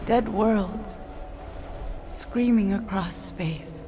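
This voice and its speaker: Slow, imperious alien warrior queen. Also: mid-range voice, noisy background. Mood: grim, urgent. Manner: grand, drawn-out, commanding delivery. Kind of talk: dramatic video game acting.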